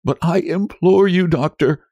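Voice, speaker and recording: A man's voice: high-pitched, unhurried, mellow, no room sound, voice pushed up. A man voicing a desperate young wife in a storybook reading, tearful, urgent and imploring. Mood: desperate pleading. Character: desperate, pleading young wife begging for help.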